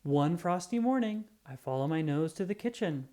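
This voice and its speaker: Storybook reader, real bouncy and lively up and down, reading aloud for kids. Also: medium pitch, moderate pace. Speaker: children's book reader. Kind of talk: reading a picture book aloud.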